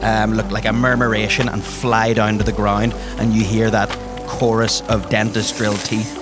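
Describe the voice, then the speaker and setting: A man runs a tabletop game, narrating vividly to his players. His voice sits fairly low. Music plays clearly underneath him. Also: level tone, normal speed. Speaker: game master. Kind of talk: dramatic second-person narration of tabletop game. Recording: music bed behind the voice. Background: background music.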